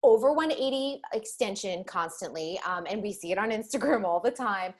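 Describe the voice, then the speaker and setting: A woman's voice: mid-range voice, normal speed. A woman interviewing a guest in a chatty, casual way, laughing easily. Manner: chatty, laughs often, animated asides.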